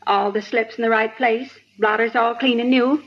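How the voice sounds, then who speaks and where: A woman's voice: on the high side, fairly even intonation, normal speed, lightly acted. A woman playing a fussy, chatty older bank clerk who rambles indignantly, in a 1950s radio drama. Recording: muffled 1950s radio recording, faint hiss.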